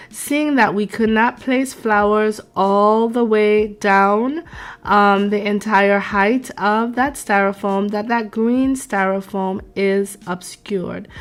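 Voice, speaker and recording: A woman hosting a craft tutorial at her table, measured and gracious, addressing viewers as darlings and sounding congested from a cold. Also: mid-range voice. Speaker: DIY craft channel host. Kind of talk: tutorial narration.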